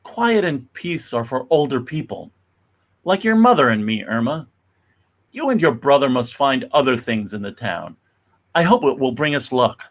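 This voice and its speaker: A man's voice: mid-range voice, big pitch swings, moderate pace. A man playing a kindly, self-made older immigrant father in a dramatic comic-book reading. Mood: warm, reflective.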